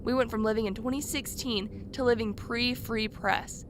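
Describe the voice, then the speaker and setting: A woman's voice: on the high side, steady tone, moderate pace, noisy background, lightly acted. A woman playing a chatty, curious true-crime podcaster in a radio play, telling her story informally. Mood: chatty, intrigued.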